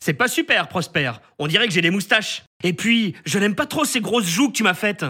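A man's voice: high voice, animated, moderate pace, bright, forceful tone, breathy edge, full character voice. A man voicing a grumpy, bossy male dragon that has come alive in a child's drawing, speaking in an indignant, demanding way. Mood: grumbling. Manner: indignant, demanding, rhymes names.

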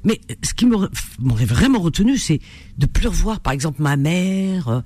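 A woman hosting a call-in radio show, expressive and quick to sympathize, laughing one moment and earnest the next, into a studio microphone. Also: lowish voice, no room sound. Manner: animated and expressive, frequent sympathetic exclamations; laughs often, then turns earnest. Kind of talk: casual call-in radio chat.

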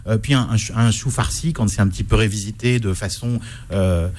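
A man's voice: lowish voice, a little fast, a little mellow. Stammering male food-critic radio host. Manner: stammering, repeats words while thinking. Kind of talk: radio talk show.

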